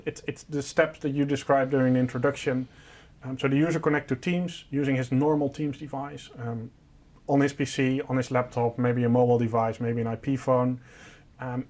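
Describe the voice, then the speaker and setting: A man's voice: mid-range voice, darkish tone. A man presenting a product, explaining a technical solution in an informal, step-by-step and simplified way.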